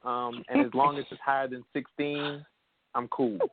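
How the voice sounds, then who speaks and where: A man's voice: medium pitch, level tone, normal speed, over a noisy crowd. A man co-hosting a casual podcast, teasing his co-hosts in playful banter. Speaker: co-host. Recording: tinny phone-line sound.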